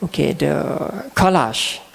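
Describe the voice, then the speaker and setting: Gravelly Thai man giving a lecture. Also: fairly high pitch, animated. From Thailand. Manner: halting, restarts and repeats words, clears throat often. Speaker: guest lecturer and translator. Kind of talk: public lecture.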